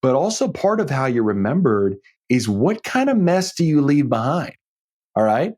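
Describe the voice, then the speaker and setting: A man with a slightly husky voice speaks as a financial planner hosting his own podcast. His pitch moves up and down in a lively way as he makes his points. He is conversational and emphatic, folksy, and keeps checking in with the listener. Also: normal speed. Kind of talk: conversational solo podcast advice.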